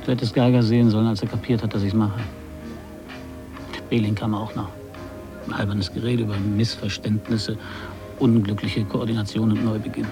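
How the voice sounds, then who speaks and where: An actor playing a cool, skeptical radio gag writer and commentator in a 1980s radio play, speaking in a dry, pointed way. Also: male voice, on the low side, little pitch movement, moderate pace, long pauses, over music, lightly acted. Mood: dry, bitter.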